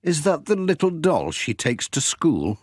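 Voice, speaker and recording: A man's voice: fairly high pitch, wildly swooping pitch, measured pace, husky, no echo, studio quality, voice pushed up, exaggerated voice. A man playing a cheery country postman. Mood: cheerful. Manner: cheery, warm storybook dialogue.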